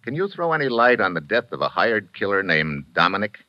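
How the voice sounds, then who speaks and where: A man's voice: lowish voice, sing-song, a little fast, no echo. A man playing a tough, wry investigator in a vintage radio drama, talking in short laconic lines. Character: tough wisecracking male private investigator. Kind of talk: 1940s radio acting. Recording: dull vintage radio recording.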